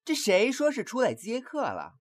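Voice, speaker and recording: A woman's voice: on the high side, wildly swooping pitch, moderate pace. A woman playing a bossy, exasperated male brothel boss in a comic audio drama, commanding and mocking.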